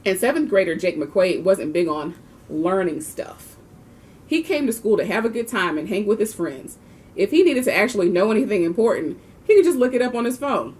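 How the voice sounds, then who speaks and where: A woman's voice: mid-range voice, lively intonation, moderate pace, a little mellow. A woman reading a children's book aloud expressively, with the occasional small stumble. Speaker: volunteer reader of a children's book. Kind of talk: storybook read-aloud.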